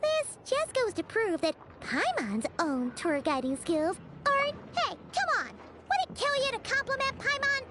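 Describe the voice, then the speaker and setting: Squeaky, excitable little fairy sidekick. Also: female voice, measured pace, very noisy, exaggerated voice. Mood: proud, pouting. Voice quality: squeaky, childlike. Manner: bubbly, exclamatory, refers to self in third person. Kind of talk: video game character acting. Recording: studio voice with music underneath.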